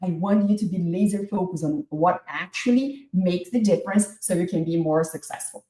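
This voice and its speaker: A woman in her forties from Brazil with a Brazilian accent, presenting an online masterclass in an energetic, talkative way. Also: mid-range voice. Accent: Brazilian Portuguese. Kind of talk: webinar presentation. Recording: echoey room.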